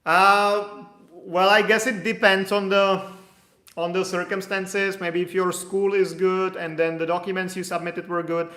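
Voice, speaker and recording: A man's voice: sits high, little pitch movement, unhurried, bright, forceful tone. A man answering viewer questions on immigration during a live stream, in a casual, hedging manner. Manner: casual, hedging, reads questions aloud then explains. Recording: echoey room.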